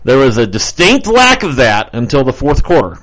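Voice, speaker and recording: A man co-hosting a local radio talk show, speaking into a studio mic. His pitch swings widely and his delivery is playful and teasing, with frequent laughs between points. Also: wildly swooping pitch, normal speed, heavy background noise. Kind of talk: casual radio banter. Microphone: studio microphone.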